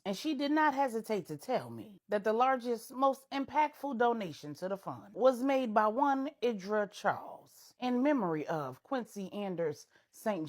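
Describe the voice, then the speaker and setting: Woman as a proud, stubborn matriarch, lively pitch. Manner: defiant, dryly sarcastic. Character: proud, stubborn, grudge-holding matriarch, the Queen Mother. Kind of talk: audio storytelling dialogue.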